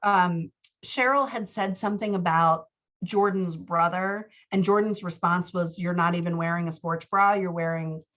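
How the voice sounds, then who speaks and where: A woman talking casually as a writing coach, giving feedback and acting out bits of dialogue in an animated, conversational way. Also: fairly low pitch, moderate pace. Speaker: book coach giving feedback on a writer's scenes. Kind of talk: casual coaching talk mixed with reading out scene dialogue. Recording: slightly dull, lo-fi audio.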